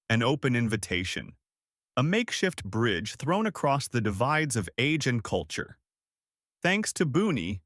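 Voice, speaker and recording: A man reading a personal essay aloud in a steady read-aloud delivery. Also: mid-range voice, no echo, clean studio sound. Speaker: essay reader.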